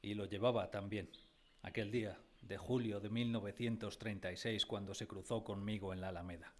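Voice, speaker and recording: A man's voice: fairly low pitch, fairly even intonation, brisk, lightly acted. An actor narrating a radio drama as a man recalling his village childhood, wistfully, in a literary style. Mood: reflective. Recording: music behind the voice.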